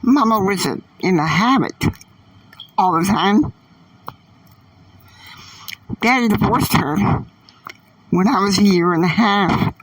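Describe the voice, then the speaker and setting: A man's voice: sits high, expressive, slow, pauses often, fairly bright tone, no echo, distant mic, voice pushed up, exaggerated voice, low-quality recording. A bratty, defiant teenage daughter, voiced by a man, her tone raised and indignant. The recording is dry, with the speaker set back from the mic. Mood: confiding.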